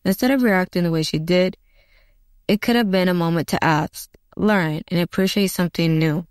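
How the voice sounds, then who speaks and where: Measured woman with a smooth voice. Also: fairly low pitch, no room sound, studio quality. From California. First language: Arabic. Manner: measured, sincere. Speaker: interviewee. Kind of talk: prepared statement.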